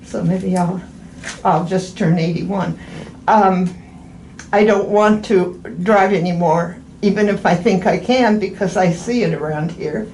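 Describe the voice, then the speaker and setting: A woman's voice: on the low side, unhurried, darkish tone. A woman in her eighties chatting casually as a patient, rambling and often restarting her phrases. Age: 80s. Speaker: podiatry patient. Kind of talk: casual chat during an appointment. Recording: echoey room with faint background noise.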